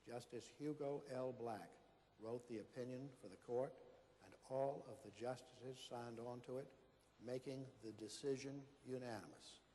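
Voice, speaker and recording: Male stage narrator: warm, mellow voice, scripted introductions, long room reverb, slight background noise. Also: mid-range voice, normal speed. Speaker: narrator of a readers theater re-enactment. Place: large hall before an audience. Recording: echoey hall, faint background noise.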